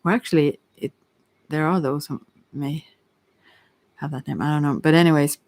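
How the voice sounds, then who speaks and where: Casting: woman for improvised tarot ASMR; gravelly fry, very dry recording, meandering unscripted delivery. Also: low-pitched, steady tone, moderate pace, darkish tone, no room sound. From Sweden. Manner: hesitant, rambling, often trailing off. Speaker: tarot reader, ASMR creator. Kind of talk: ASMR tarot reading, casual improvised chat.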